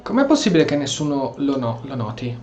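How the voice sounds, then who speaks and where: A man's voice: medium pitch, very animated, fast, darkish tone. An Italian man streaming a role-play game, voicing the dialogue choices and commenting as he goes. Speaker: gameplay streamer. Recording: slightly dull, game music faintly behind. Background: game music.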